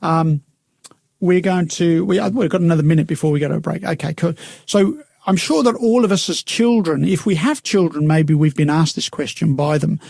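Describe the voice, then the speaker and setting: A man co-hosting a faith radio show, thinking aloud with frequent "you know". His voice is gravelly and a little husky. The studio sound is close and dry. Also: a little high, moderate pace, warm tone, no echo. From United Kingdom. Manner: reflective, musing, with many "uh" and "you know". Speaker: radio co-host.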